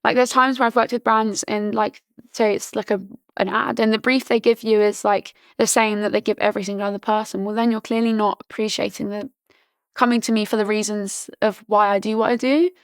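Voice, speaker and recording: A woman in her early twenties from Britain, chatting casually as a podcast guest, full of "like" fillers and restarts, her voice slightly hoarse. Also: medium pitch, little pitch movement, a little fast, no echo. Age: early 20s. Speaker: podcast guest, charity founder. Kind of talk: casual interview chat.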